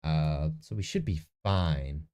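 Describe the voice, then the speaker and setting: A man's voice: extremely low pitch, takes it slowly, dark tone, clear, resonant tone. A young man with a nasal voice, chatting casually in his bedroom while he prepares a tabletop game session, thinking aloud and correcting himself. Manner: rambling, self-correcting, talks to himself. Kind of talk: casual screen-recorded prep vlog. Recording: faint echo in the room.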